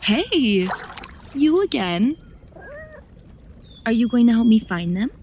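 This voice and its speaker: A woman's voice: a little high, sing-song, slow, a little mellow, slight background noise. A woman playing a blunt, sarcastic, bossy young travel companion in an audio drama. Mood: exasperated.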